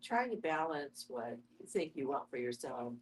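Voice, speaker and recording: A woman's voice: a bass voice, big pitch swings, normal speed, slight background noise. A woman taking part in a casual group discussion, offering reflective comments. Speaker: discussion participant.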